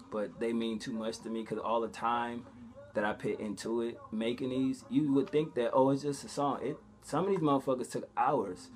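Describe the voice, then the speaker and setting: A man with a mid-pitched voice talks casually to his vlog audience. His delivery is conversational and loose, peppered with slang and profanity. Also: darkish tone. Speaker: vlogger. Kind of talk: casual vlog talk to viewers.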